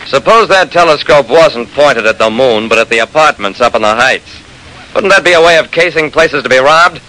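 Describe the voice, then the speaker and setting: A man's voice: mid-range voice, expressive, moderate pace, pushed and bright. An actor playing a shrewd, persistent private investigator narrating his case in an old-time radio drama, with clipped, hard-boiled delivery. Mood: keen, intrigued. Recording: noisy vintage radio recording.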